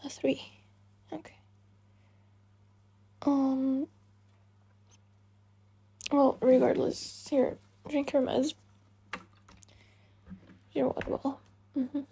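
A woman doing intimate ASMR roleplay as a caring, affectionate girlfriend, speaking in a soft, breathy, soothing murmur that often slips into a whisper. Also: sits high, little pitch movement, drawn-out words, long pauses. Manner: soothing, hushed, tender, often slipping into whispers. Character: caring, affectionate girlfriend comforting her partner.

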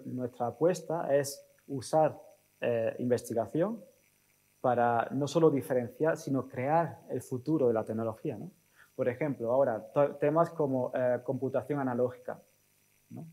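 A man in his forties speaking as a guest in an on-stage interview, thinking aloud haltingly and often checking with short tag questions. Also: mid-range voice, warm tone, poor audio. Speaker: guest speaker, technology executive. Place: auditorium stage. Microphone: lapel mic.

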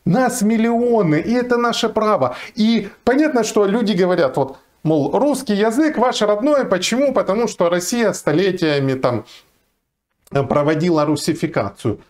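A man's voice: sits high, lively intonation, normal speed. A man in his forties, a lawyer from Ukraine, giving earnest, emphatic commentary to camera while seated in a small room, arguing his point with frequent fillers. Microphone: fixed camera, seated facing it.

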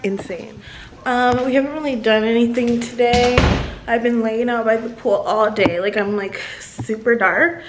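A woman's voice: on the high side, steady tone, measured pace, echoey room, background noise. A young American woman talking casually to her phone camera in a vacation house, chatty and swearing freely, with lots of "like.". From United States. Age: young adult. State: tired. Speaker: travel vlogger. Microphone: phone camera at arm's length.

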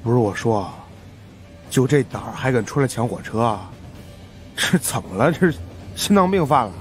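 A man's voice: medium pitch, sing-song, moderate pace, soft background music, pitched above the performer's own voice, lightly acted. A man voicing a cocky, wisecracking fat male investigator in a mocking, flippant way for an audiobook. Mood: scornful.

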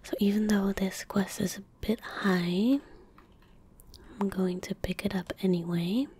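A woman in her twenties speaking in a breathy, gentle whisper close to the mic, giving unhurried ASMR commentary as she plays a game. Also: mid-range voice, steady tone. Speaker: ASMR gaming streamer. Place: indoor room at a desk. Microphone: close desk microphone.

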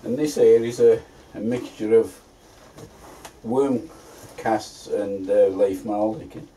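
A man's voice: on the low side, steady tone, takes it slowly, dark tone, a little hiss or hum, poor audio. Homely man in his fifties. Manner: chatty, homely, plain-spoken. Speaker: allotment vlogger. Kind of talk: casual gardening vlog narration. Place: inside a greenhouse on an allotment.

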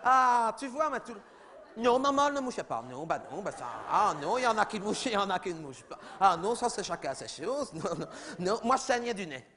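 A man's voice: high voice, sing-song, fairly quick, sharp tone, heavily acted. A man voicing a chatty, oblivious middle-aged mother, rambling and gossipy in a comedy sketch. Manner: rambling, gossipy, repeats "oui, oui", talks over others.

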